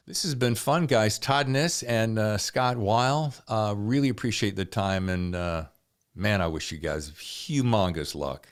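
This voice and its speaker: A man hosting a podcast in casual, enthusiastic conversation, often speaking straight to listeners. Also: deep voice. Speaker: podcast host. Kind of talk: casual interview chat.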